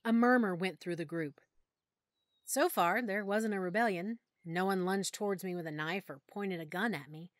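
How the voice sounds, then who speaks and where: Woman narrator, first-person audiobook, soft emotional delivery, lower voice, dry close sound. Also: normal speed, dry recording.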